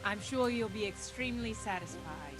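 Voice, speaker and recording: A woman's voice: mid-range voice, lively intonation, moderate pace, close to an everyday voice, low-quality recording. An actress playing a courteous, businesslike bathhouse woman in a medieval video game. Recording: game dialogue under music.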